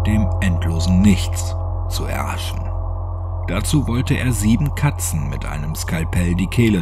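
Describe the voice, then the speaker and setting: A man narrating a scripted horror audiobook in a measured, documentary-like storytelling manner. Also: sits low. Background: background music.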